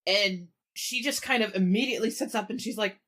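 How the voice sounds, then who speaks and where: A woman casually tells what her character is doing in a tabletop game. Her voice is sharp and bright, sitting at a medium pitch. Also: a little fast, slight background noise. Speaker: tabletop roleplaying player.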